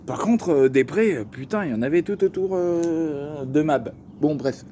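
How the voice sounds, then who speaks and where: Slangy Parisian man, dark mellow voice. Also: on the high side, lively intonation, normal speed, slight background noise. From Paris region. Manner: slangy, joking asides, many fillers. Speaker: vlogger. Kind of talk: casual storytelling to camera.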